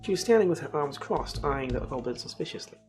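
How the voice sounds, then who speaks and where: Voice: mid-range voice, lively intonation, a little fast, a little mellow. A streamer reading a text adventure game aloud as story narration, with casual asides. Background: music.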